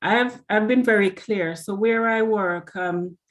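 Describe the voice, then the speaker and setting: A woman's voice: medium pitch, a little slow. A woman guest speaker on a video call, talking earnestly and often restarting her words. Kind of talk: motivational talk and panel answers.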